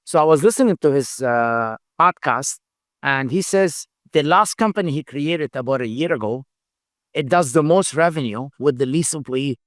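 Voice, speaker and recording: A middle-aged man, a company founder, speaking English as a second language in a halting interview answer style, full of fillers and repeated words. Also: mid-range voice, expressive, no room sound, clean studio sound. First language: not English. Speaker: founder and CEO, podcast guest.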